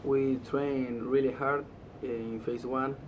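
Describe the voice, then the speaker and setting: A man's voice: level tone, slow pace, dull audio. An eighteen-year-old man from Argentina whose native language is Spanish, speaking English with an Argentine accent, hesitant and full of "uh" fillers. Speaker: student athlete. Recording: distant mic with loud rumble.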